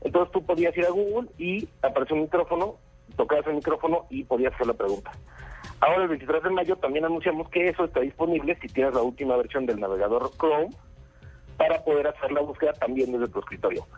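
Mexican male spokesman, raspy voice, explanatory with fillers, soft music bed. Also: fairly high pitch, fast talker, highs cut off. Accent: Mexican Spanish. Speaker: company spokesman. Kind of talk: interview answers.

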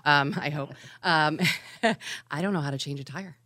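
A woman in her forties with a low voice, recorded close and dry in a studio. She rambles a little and pokes fun at herself, laughing as she talks. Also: unhurried, sharp tone, dry recording. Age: 40s. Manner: self-deprecating, laughs while talking, rambling. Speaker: radio show co-host. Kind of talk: casual chat.

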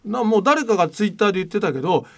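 A male talk show host with a high voice and highly animated intonation. He speaks casually and colloquially, laying out his opinions bluntly and with emphasis. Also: fast.

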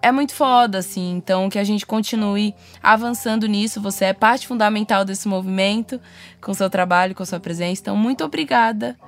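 A woman's voice: medium pitch, brisk, faint music behind. A Brazilian woman hosting a podcast in a conversational style, effusive and encouraging. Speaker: podcast host.

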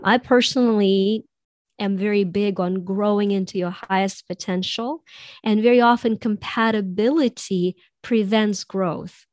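A woman with a raspy voice speaks as a coach in a relaxed conversation. She explains things thoughtfully, often slipping in "you know." The sound is dry and close, with no room around her. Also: medium pitch, no echo. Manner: reflective, explains with many "you know" asides. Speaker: possibility coach. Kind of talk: podcast conversation on soulmates.

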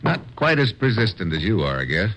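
An actor playing a tough, unflappable American adventurer in a 1950s radio drama, with a wisecracking, hardboiled delivery. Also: male voice, fairly low pitch, sing-song, fairly quick, background noise. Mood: wry, confident. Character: tough, wry, unflappable American adventurer hero narrating his exploits. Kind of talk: 1950s radio drama acting and first-person narration.

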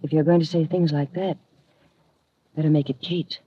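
Husky, arch haughty rich wife. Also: female voice, sits low, fairly even intonation, moderate pace. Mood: flirtatious. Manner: arch, languid, sardonic. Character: cool, haughty, flirtatious wealthy wife in her twenties. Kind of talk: 1950s radio noir acting. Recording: muffled old 1950 radio recording.

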